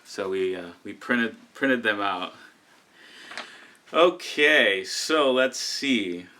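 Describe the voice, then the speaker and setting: A man's voice: lowish voice, drawn-out words, pushed and bright. A young American man co-hosting a casual live stream and teasing his partner. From United States. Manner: teasing, joking. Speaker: co-host.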